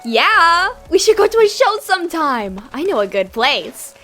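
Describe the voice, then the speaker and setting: A woman voicing an upbeat, excitable young female mutant lizard, a chatty idealist, in a comic dub. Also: very high voice, a little slow, faint music behind, exaggerated voice. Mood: enthusiastic.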